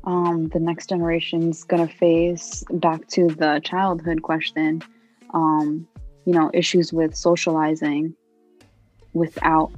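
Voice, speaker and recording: A young woman with a low, husky voice speaks slowly and earnestly. She fills her answers with "you know" and keeps an even, steady tone. The recording sounds a little dull, with soft music underneath. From United States. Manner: thoughtful, earnest, many "you know" fillers. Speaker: interviewee, poet. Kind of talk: interview answers.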